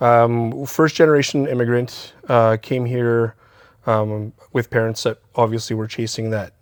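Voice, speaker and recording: A man from Chile, a community safety consultant, talking openly and in a measured way in an interview about recovery and life without shelter. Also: medium pitch, little pitch movement, clear, resonant tone, no room sound, studio quality. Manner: open, measured, speaks frankly about recovery. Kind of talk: candid interview conversation. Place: theatre stage, live show.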